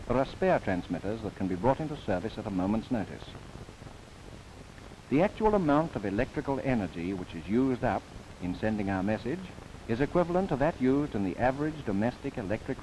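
Male narrator for a 1930s government film, formal and measured, medium pitch, faint hiss. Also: darkish tone, low-quality recording. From New Zealand. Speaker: documentary narrator. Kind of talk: scripted narration, 1930s newsreel. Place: studio voice-over.

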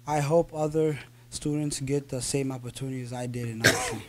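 A man's voice: medium pitch, fairly even intonation, fairly quick, noisy background. A teenage boy reading a prepared speech at a school board meeting, with small restarts. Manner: reads carefully with small restarts. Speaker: student speaker. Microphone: podium microphone.

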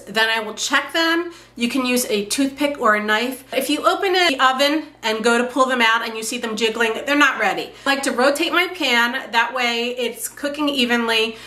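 Casting: woman, 30s, home cook, bright clear tone, slightly high, chatty step-by-step recipe delivery. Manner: friendly step-by-step instruction. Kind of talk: cooking demonstration. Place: home kitchen, indoors.

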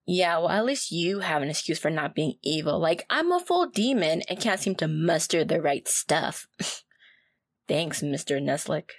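A woman's voice: low voice, very animated, measured pace, slightly rough, dry recording, studio quality, exaggerated voice. A woman playing a wry young demon student, casual, teasing and protective, in an audio roleplay. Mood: playful, teasing. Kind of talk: ASMR audio roleplay acting.

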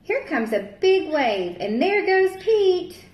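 A woman in her thirties reads a children's picture book aloud at a slow, patient pace. Her voice swoops up and down with playful character voices. She is recorded in an echoey room by a camera sitting at table distance. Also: very high-pitched, big pitch swings, dull audio. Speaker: teacher.

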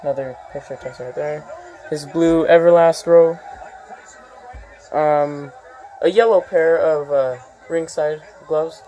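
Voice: mid-range voice, fairly even intonation, unhurried, dark tone, a faint crowd. A vlogger casually narrating a tour from behind a handheld phone in a room full of posters, listing items with many ums and uhs. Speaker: vlogger giving a home tour.

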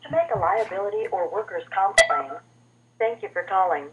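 A woman's voice: medium pitch, sing-song, fairly quick. Dull, muffled recorded woman phone announcer. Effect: telephone line. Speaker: automated phone line announcer. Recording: dull phone audio with faint noise.

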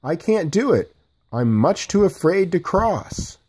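A timid little piglet from a children's storybook, voiced by a man. The pitch is lifted and moves around a lot, and the manner is hesitant, sweet and earnest. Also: very animated, slow, darkish tone, slightly rough, heavily acted. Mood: afraid, downcast. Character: small timid young male piglet, anxious but sweet.

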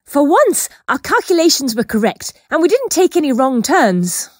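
A woman's voice: high voice, big pitch swings, normal speed, no room sound, studio quality. A woman playing a helpful female artificial intelligence in an audio drama. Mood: upbeat.

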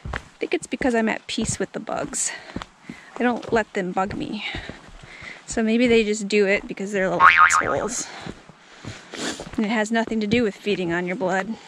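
A woman in her thirties chatting casually as she hosts an outdoor vlog on a handheld camera. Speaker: vlog host. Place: outdoors on trails and roadsides. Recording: noisy outdoor recording with ambient rumble.

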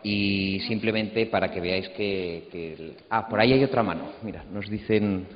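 A man's voice: fairly low pitch, normal speed. A man casually moderating a panel on a stage. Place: stage of a large auditorium. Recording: muffled, echoing auditorium sound.

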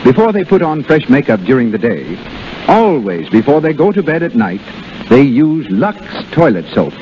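Animated male 1930s radio announcer. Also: mid-range voice, normal speed. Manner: polished, salesmanlike. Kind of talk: 1930s radio advertisement read. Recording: muffled 1930s radio, faint noise.

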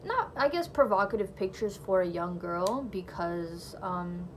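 A woman's voice: lowish voice, animated, a little slow, a little hiss or hum. A young woman whose first language is Portuguese, chatting casually to camera in her bedroom about her faith testimony, full of "like" and "you know". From Brazilian family. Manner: chatty, lots of "like" and "you know", laughs lightly. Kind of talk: casual personal storytelling to camera. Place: small bedroom, indoors. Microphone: phone or camera close in front.

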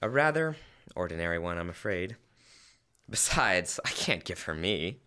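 A man's voice: low-pitched, very animated, moderate pace, pushed and bright, clean tone, heavily acted. A young man playing an earnest, flustered husband in an old-time radio comedy. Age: teens to young adult. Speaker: student actor. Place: school library room, staged performance. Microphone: stand microphone on stage.

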